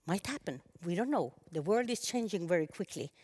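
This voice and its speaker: Brief: woman in her fifties, lowish voice, halting earnest panel talk. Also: animated, darkish tone. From Sápmi, northern Sweden. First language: Sami. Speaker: panel speaker. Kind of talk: conference speech.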